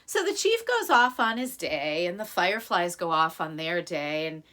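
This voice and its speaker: A woman with an American accent telling a folktale expressively, with dramatic pauses. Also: lowish voice, very animated, sharp tone. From United States. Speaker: musical storyteller.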